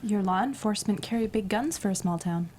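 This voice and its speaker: A woman's voice: mid-range voice, fairly quick, a little mellow, natural voice. A woman reading the part of an enigmatic, emotionless young drifter in a screenplay table read, terse and reserved. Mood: guarded, cool.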